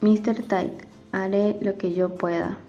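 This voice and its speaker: A woman plays a deferential labour contractor, a man under pressure, in an amateur radio serial. The dull, lo-fi voice is slow and mellow, pleading and explaining. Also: medium pitch, little pitch movement. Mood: hesitant, pleading. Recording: dull lo-fi audio with background music.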